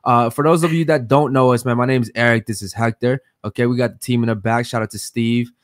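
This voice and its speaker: A man's voice: mid-range voice, fairly even intonation, quick pace, dry recording, clean studio sound. A man co-hosting a podcast, talking casually and laughing, calling the audience "man" and "bro". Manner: laid-back, says "man" and "bro" a lot, laughs often. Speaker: podcast co-host. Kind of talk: casual chat.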